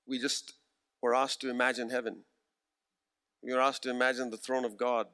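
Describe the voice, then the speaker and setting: A man in his forties preaching a sermon, earnest and emphatic, slowing down to repeat key phrases. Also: medium pitch, little pitch movement, bright, forceful tone, clear, resonant tone. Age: 40s.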